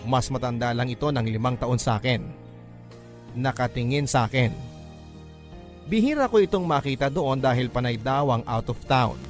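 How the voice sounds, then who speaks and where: Male narrator, medium pitch, scripted first-person storytelling, classic radio drama style, gentle music bed. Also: moderate pace, long pauses.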